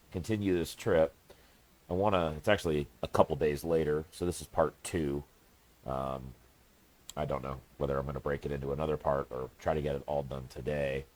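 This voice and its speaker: A man's voice: very deep voice, darkish tone. A man with a stuffed-up, congested voice giving rambling, casual commentary as he drives, swearing under his breath now and then. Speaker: truck-sim gameplay commentator.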